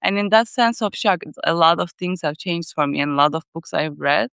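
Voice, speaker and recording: Give me a woman with a Turkish accent, smooth and clear, speaking thoughtfully as a guest on a live call. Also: lowish voice, lively intonation, a little fast, no echo, clean studio sound. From Turkey. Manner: soft, thoughtful, searching for words. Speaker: guest writer. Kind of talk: casual live interview.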